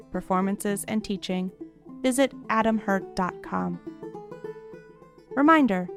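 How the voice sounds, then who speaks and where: A woman reads the closing credits of a podcast in a clear, friendly announcer voice. Her pitch sits in the middle. Soft guitar music plays quietly behind her. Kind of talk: scripted credits read.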